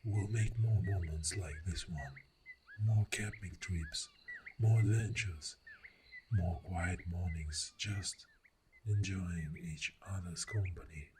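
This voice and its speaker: A man plays a tender, clingy boyfriend in an intimate ASMR roleplay. His soft, deep voice drifts in and out of whispers and moves extremely slowly, with lingering pauses. Birdsong and outdoor ambience are clearly heard behind him. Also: background noise. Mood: tender, adoring.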